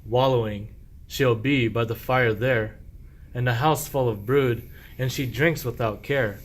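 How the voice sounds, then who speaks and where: A man's voice: mid-range voice, fairly even intonation, measured pace, lightly acted. A man playing a sly, boastful, self-pitying sheep thief in a medieval verse radio play, with declamatory rhyming speech. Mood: complaining.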